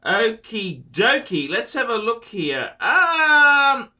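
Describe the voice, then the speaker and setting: A man's voice: extremely high pitch, big pitch swings, slow pace, bright, forceful tone. A middle-aged man hosting a watch channel, talking casually to camera and repeating words emphatically for effect. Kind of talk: casual to-camera review, reading a viewer's letter.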